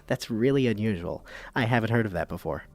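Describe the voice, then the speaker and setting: An actor playing an earnest, somewhat puzzled public radio host, narrating and interviewing in an audio comedy. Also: male voice, lowish voice, expressive, normal speed, soft background music. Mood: puzzled, curious.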